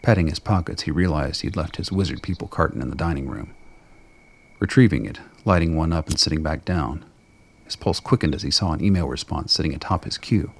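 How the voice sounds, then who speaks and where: A male story narrator with a remarkably deep voice. It is full of fry and rasp as he reads scripted dramatic prose. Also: fairly quick. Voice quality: gravelly, husky.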